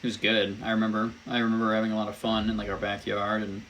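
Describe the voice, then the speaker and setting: A young man from St. Louis, hosting a podcast and chatting casually in a relaxed, hesitant way with lots of ums. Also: fairly low pitch, fast, highs cut off, slight background noise. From St. Louis, Missouri. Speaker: podcast host. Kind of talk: casual conversation.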